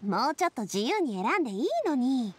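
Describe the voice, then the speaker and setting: A woman voicing a confident, playful young lady in an anime game, talking in a casual, breezy, expressive way. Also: a little high, brisk, slight background noise. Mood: playful, encouraging. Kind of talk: Japanese anime game voice acting. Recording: studio voice with music underneath.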